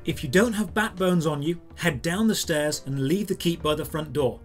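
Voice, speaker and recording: A man reading a scripted step-by-step game tutorial in a clear, instructional way. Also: on the high side, moderate pace. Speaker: video guide narrator. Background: background music.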